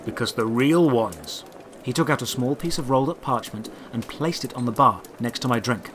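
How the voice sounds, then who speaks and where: A man narrating a scripted fantasy adventure in the first person, with deliberate, theatrical storytelling delivery. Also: mid-range voice, background noise. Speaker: narrator and writer of the series.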